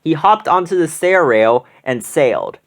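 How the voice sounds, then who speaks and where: Animated storybook reader with widely swinging pitch. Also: measured pace. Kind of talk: expressive children's picture-book read-aloud.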